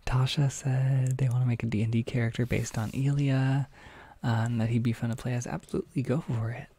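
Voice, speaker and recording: A man with a gravelly, husky voice hosts a calm livestream, reading viewers' messages aloud. He talks softly, often dropping to a half-whisper, with many small pauses and fillers. His nose is a little stuffy, and he sits close to the microphone. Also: mid-range voice. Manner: soft, halting, with frequent fillers and half-whispered stretches. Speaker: ASMR audio creator hosting a livestream. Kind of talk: soft-spoken casual livestream chat, reading chat.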